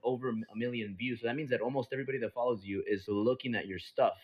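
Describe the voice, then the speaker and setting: A man whose first language is Spanish, from the United States, talking earnestly and haltingly with many fillers. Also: mid-range voice, steady tone. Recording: dull lo-fi audio.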